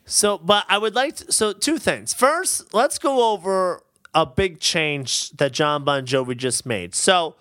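A woman's voice: on the low side, expressive, unhurried, a bit bright, no room sound, studio quality. A woman co-hosting a podcast in casual, opinionated chat, swearing and emphatic with mock outrage. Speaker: podcast co-host.

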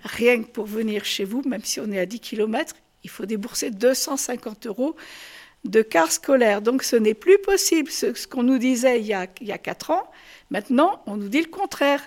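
Female artist guest, higher voice, casual, halting explanations with repeated words. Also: animated. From France. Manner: hesitant, often repeating words as she explains. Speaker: puppet maker and artist, interview guest. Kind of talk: casual interview.

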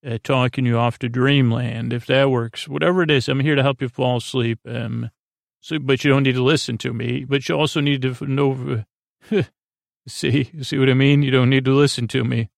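Low, creaky-voiced man rambling at bedtime. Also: steady tone, dry recording, studio quality. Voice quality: gravelly, creaky voice. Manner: meandering, halting, full of tangents and self-interruptions. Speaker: podcast host. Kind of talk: rambling bedtime monologue to help listeners sleep.

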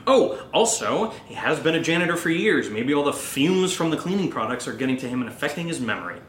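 A man's voice: on the high side, big pitch swings, fast, a bit bright, slight background noise. A man acting an anxious young American who plays the rational friend, in a naturalistic horror audio drama. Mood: reassuring, defensive. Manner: casual, hesitant, sweary.

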